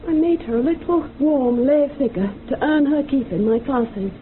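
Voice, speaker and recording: A woman's voice: high voice, animated, normal speed. A woman playing an emotional, doting and reverent yoga teacher with a theatrical manner in a radio drama, on a tinny recording. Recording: tinny band-limited radio recording, faint noise.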